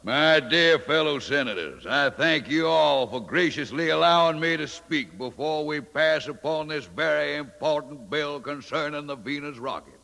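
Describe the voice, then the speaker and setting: An actor playing a pompous, sly older senator, orating in florid, grandiose phrases in a 1950s radio drama. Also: male voice, on the high side, lively intonation, gravelly, sharp tone, full character voice. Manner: slow, florid, grandiose speechifying. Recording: long echo of a large hall.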